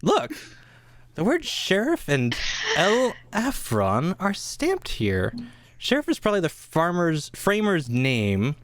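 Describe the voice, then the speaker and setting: A man plays a confident gentleman detective from England in an amateur radio play. His brisk lines leap widely up and down in pitch, and the sound is dry, with little room on it. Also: no room sound.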